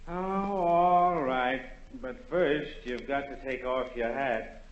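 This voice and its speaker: A man's voice: mid-range voice, close to an everyday voice. A man playing a brusque, grumbling executioner who lives by the rules, in 1940s radio drama style. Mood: grumpy, impatient. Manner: brusque, exasperated, drawn-out words. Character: gruff, grumbling male royal executioner, bound by rules. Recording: dull, roomy 1940 radio recording.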